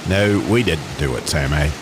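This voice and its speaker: A man's voice: extremely low pitch, normal speed, background noise, voice pushed down. A man voicing a snooty, haughty car alternator with a pompous, formal, scolding manner in a radio drama. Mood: earnest protest.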